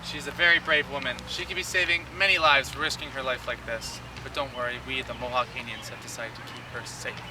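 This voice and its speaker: A man playing a calm, brave warrior in an outdoor historical play, speaking in a terse deadpan. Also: medium pitch, brisk, few pauses, lightly acted. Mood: calm, reassuring. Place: outdoors in a park. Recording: distant mic, clear outdoor noise.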